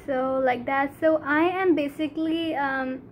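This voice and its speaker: A teenage girl from Pakistan with a Pakistani English accent, Urdu her first language, talking earnestly to a phone camera in a small room, halting, with many fillers. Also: sits high, fairly even intonation, a little hiss or hum. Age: teens. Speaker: vlogger. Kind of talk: casual talk to camera. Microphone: phone camera at arm's length.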